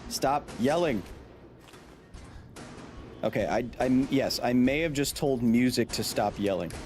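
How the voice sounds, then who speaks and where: Excitable man streaming a game. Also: mid-range voice, unhurried, soft background music. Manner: goofy, excitable, shouts at the game. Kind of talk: live gameplay commentary.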